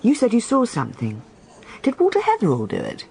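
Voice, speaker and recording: A woman's voice: medium pitch, wildly swooping pitch, brisk, gravelly, mellow, a little hiss or hum. A woman acting in a British radio mystery, playing a curious, persistent young listener who questions a detective directly, with a British accent. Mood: curious, probing. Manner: inquisitive, direct, insistent.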